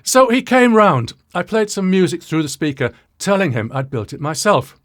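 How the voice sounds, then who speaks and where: A British man in his sixties telling a story to viewers as a presenter, in a wry, self-deprecating way with dramatic pauses and asides. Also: sits high, big pitch swings. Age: 60s. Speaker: presenter of an audio channel.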